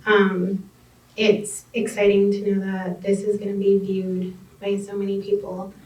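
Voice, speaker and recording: A young woman, an emerging artist, answering shyly on a panel. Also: mid-range voice. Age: young adult. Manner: shy, slow and flat. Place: art center gallery room. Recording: distant echoey room with faint noise.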